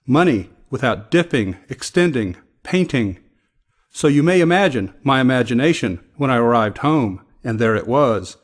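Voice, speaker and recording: A man's voice: medium pitch, expressive, moderate pace. A man reading a first-person narrative poem aloud. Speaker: poetry reader.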